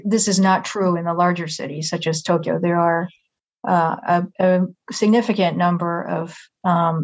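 Low-voiced careful woman from Japan. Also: muffled recording. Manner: measured, careful, searching for words. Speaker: interview guest. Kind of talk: thoughtful interview answer.

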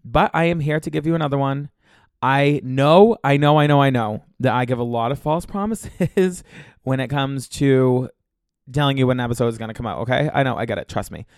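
A man's voice: mid-range voice, brisk, dry recording. A young man rambles through his solo podcast, chatty and foul-mouthed, with a campy, gossipy delivery. He has a cold, so his throat is a bit scratchy and congested. The sound is close and dry with almost no room around it. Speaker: podcast host. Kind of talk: casual solo podcast monologue.

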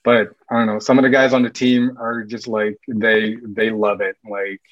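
A man in his late thirties, raised in Sweden and California, chatting as a podcast guest in a loose, rambling way full of "like" fillers and quick laughs. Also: on the low side, little pitch movement, dry recording, dull audio. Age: late 30s. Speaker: podcast guest, cycling team runner. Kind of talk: casual interview chat.

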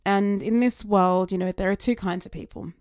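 A woman talking through her thoughts in a chatty, rambling monologue that wanders onto tangents, her voice getting slightly raspy. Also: mid-range voice, fairly even intonation, normal speed, darkish tone, dry recording, studio quality. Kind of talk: casual reflective monologue.

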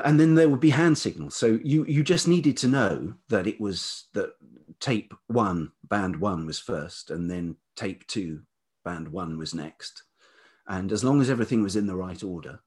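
A middle-aged man from the UK speaks with a very low, husky voice. He tells long stories from his working life, stopping often to restart his sentences. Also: darkish tone. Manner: halting, many ums and restarts. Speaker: podcast guest, writer and producer. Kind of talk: relaxed storytelling interview.

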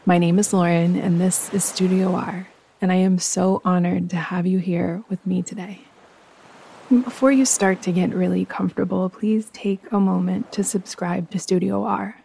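A woman guiding a yoga nidra meditation in a soft, breathy voice, calm, soothing and unhurried. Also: fairly low pitch, a little hiss or hum, low-quality recording. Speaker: meditation guide and host.

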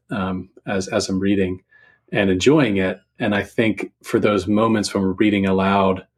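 A middle-aged man speaks as a guest in a reflective, casual conversation. His voice is fairly low and husky, and he talks in a hesitant, thoughtful way, often repeating words as he forms his point. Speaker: podcast guest, writer.

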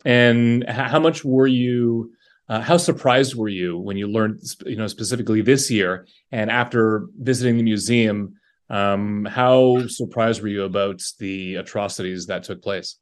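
A man's voice: on the low side, steady tone, unhurried. A man hosting an interview, putting questions to guests in a conversational way. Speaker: interviewer, host.

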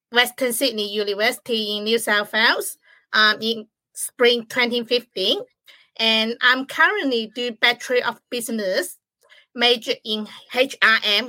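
A young woman from China talks in English with a clear Chinese accent, her first language being Chinese. Her voice sits somewhat high and sounds bright, recorded dry and close. She speaks haltingly, with small grammar slips. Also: no echo. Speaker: guest. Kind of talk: podcast interview.

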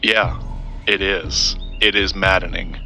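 Deep-voiced, earnest occult collector. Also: male voice, fairly even intonation, bright, forceful tone, soft background music, heavily acted. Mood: guarded, earnest. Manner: measured, storytelling. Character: earnest, guarded male collector of occult lore. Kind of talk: audio drama dialogue.

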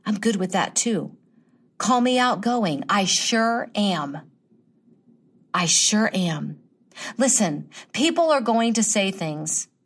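Emphatic, encouraging woman podcast host. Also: medium pitch. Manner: emphatic, encouraging, repeats phrases for effect. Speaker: podcast host, motivational coach. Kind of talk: motivational podcast monologue.

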